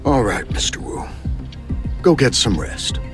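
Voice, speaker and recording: An actor playing an authoritative male superior in an anime game, formal, measured and weighty. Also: medium pitch, big pitch swings, music in the background. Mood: measured concern. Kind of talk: anime game cutscene acting.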